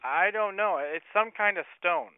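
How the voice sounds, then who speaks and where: A man pranking a caller as an ancient mummy pharaoh, talking in a hesitant, stammering, deadpan way over a tinny phone line. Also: high-pitched, moderate pace, clean tone, no echo, pitched above the performer's own voice.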